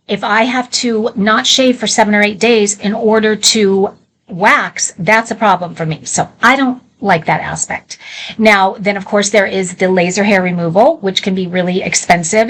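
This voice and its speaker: A woman with a medium-pitched voice presents products as a live shopping host. She talks informally and candidly, like someone chatting through a demonstration, often slipping in conversational asides. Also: a bit bright, husky.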